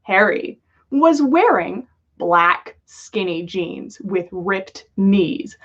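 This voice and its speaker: A woman's voice: medium pitch, big pitch swings, extremely slow. A woman reading a story aloud as a livestream host, with lo-fi sound. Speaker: podcast host reading fan fiction aloud. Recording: dull lo-fi livestream audio.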